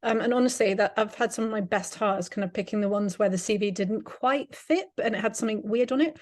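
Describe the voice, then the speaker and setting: A woman's voice: medium pitch, brisk, no echo, clean studio sound. A woman startup founder speaking on an online panel, friendly with light laughs and fillers, over a video call. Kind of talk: panel discussion.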